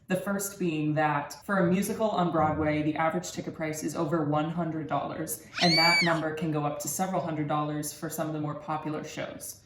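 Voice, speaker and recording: A man's voice: a little high, fairly bright tone. Man, early twenties, student presenter, scripted research talk, roomy sound, faint noise. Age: early 20s. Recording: roomy echo with background noise.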